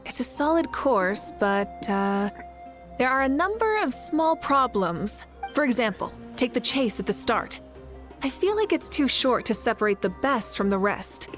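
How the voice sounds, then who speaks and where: A self-assured warrior leader. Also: female voice, on the high side, lively intonation, unhurried, faint music behind. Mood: thoughtful. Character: confident fiery female warrior leader.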